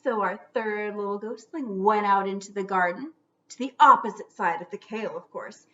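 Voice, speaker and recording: A woman reading a children's storybook aloud theatrically, stretching out words and dropping into hushed whispers for suspense. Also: medium pitch, normal speed, muffled recording.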